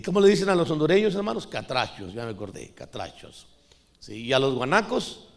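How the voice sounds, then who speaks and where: A middle-aged man from Costa Rica preaches to his congregation in an easy, conversational manner. His voice sits a little higher than most men's, and he keeps turning to the listeners as brothers. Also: fairly bright tone. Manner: conversational preaching, often adds "hermano". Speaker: pastor. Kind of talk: sermon.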